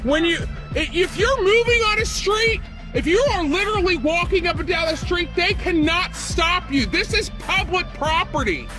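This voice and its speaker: A woman ranting angrily like a street protester, indignant and shouting. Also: very high voice, expressive, normal speed, hardly any pauses. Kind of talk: angry protest rant. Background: music.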